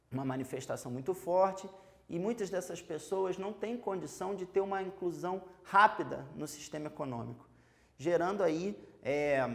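A young man with a Brazilian accent teaching a history lesson to students in a studio, explaining directly to them, walking and gesturing with many fillers. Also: high voice. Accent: Brazilian Portuguese. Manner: walks and gestures while talking. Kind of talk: televised school lesson. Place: studio in front of a chalkboard.

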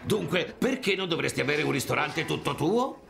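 Big wealthy doting father, grandiloquent, booming, higher male voice, gravelly, video game cartoon. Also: very animated, normal speed, exaggerated voice. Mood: jovial, proud. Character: big, pompous, doting rich Southern father. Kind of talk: animated video game character acting.

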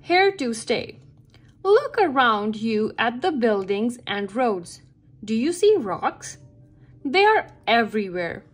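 Slow, lively woman reading to children. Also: a little high. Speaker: read-aloud narrator for children. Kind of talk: children's picture book read-aloud. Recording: background music under the voice. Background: music.

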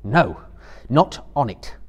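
A man's voice: medium pitch, big pitch swings, takes it slowly, husky, pitched above the performer's own voice, heavily acted. A man playing a stern, commanding young master in a dramatic book reading, solemn and emphatic. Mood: stern warning.

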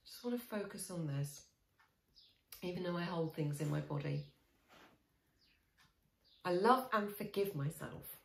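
A woman in her forties guiding a tapping session, speaking slow, soothing, repeated affirmations with sighs. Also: on the low side. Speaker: EFT tapping coach. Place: small room indoors. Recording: echoey small room.

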